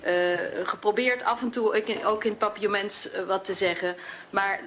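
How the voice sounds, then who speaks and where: A woman's voice: medium pitch, moderate pace, fairly bright tone, a little hiss or hum. A woman chairing a parliamentary session, firm but hesitating often.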